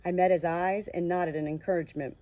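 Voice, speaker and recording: Woman narrating a novel, slightly low voice. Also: steady tone, a little fast. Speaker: audiobook narrator. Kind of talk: first-person fiction audiobook narration with acted dialogue. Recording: dull, muffled lo-fi audio.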